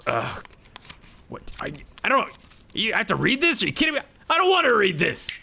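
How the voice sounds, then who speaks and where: A man playing a sullen, sarcastic defeated ruler of hell in an improvised comedy, with a deadpan, grudging manner. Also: medium pitch, moderate pace, vocal fry, slight background noise, exaggerated voice. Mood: reluctant. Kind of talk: improvised comedy podcast acting.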